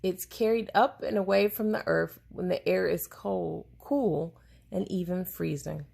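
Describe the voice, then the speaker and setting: A woman reading a children's science book aloud to a child in a deliberate, explaining way, pausing to answer questions. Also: lowish voice, creaky, darkish tone, slightly rough.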